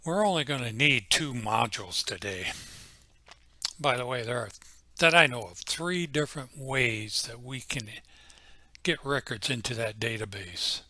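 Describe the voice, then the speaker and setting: A man giving an informal, unscripted software walkthrough as a tutorial presenter, explaining things methodically step by step. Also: medium pitch, a little slow. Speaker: tutorial presenter, amateur radio hobbyist.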